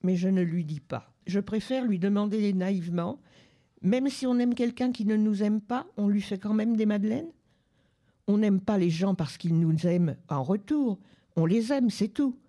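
Woman reader, deep-toned and mellow, measured literary reading. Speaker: reader at a book club radio show. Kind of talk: reading a literary excerpt aloud. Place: public library.

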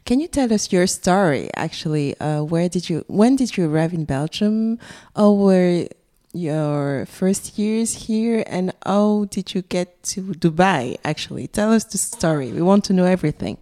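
A woman's voice: on the low side, expressive, unhurried, dark tone. A woman from Benin hosting a podcast, asking questions in a casual friendly interview. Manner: friendly questioning, brief acknowledgements. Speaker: podcast host.

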